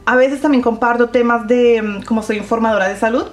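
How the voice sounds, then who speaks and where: Middle-aged woman in an echoey studio. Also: on the high side. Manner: steady, explanatory, with pauses and fillers. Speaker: radio show host, interview guest. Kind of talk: conversational radio interview.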